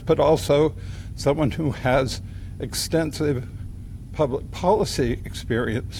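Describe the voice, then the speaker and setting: A man moderating a session, giving a measured, courteous introduction of the next speaker. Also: mid-range voice, creaky, darkish tone, a little hiss or hum.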